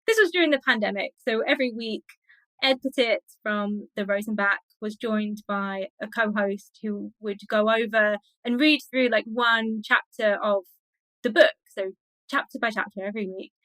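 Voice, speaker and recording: A woman's voice: medium pitch, moderate pace, fairly bright tone, no room sound, low-quality recording. A woman speaking as an expert guest in a conversational interview, explaining her thoughts with enthusiasm.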